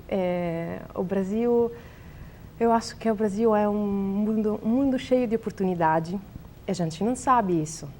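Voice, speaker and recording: This is a young woman from Italy with an Italian accent, a scientist being interviewed. She talks in hesitant, careful phrases full of fillers as she hunts for the right words. Her voice sits at an average pitch, with a faint rumble behind it. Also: mellow. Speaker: interview guest, biologist. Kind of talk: casual interview answers in a learned language.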